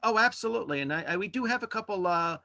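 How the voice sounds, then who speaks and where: A man talking casually as a podcast host being interviewed in a relaxed conversational exchange. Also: sits high, fast talker, vocal fry, no echo, clean studio sound. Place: indoors at home, on a video call.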